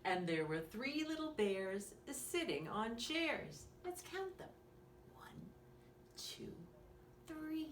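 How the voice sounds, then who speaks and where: A woman's voice: fairly high pitch, sing-song, slow. A woman hosting storytime for young children, speaking in a sing-song way with simple questions for her small listeners. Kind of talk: read-aloud storytime and craft lesson for young children. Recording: echoey room, distant mic, faint noise.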